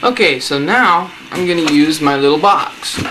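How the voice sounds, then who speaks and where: Guy doing a casual diy how-to, kinda high bright voice, slangy, some noise behind him. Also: animated, moderate pace. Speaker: presenter of a DIY how-to video. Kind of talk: casual hands-on tutorial. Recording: noticeable background noise.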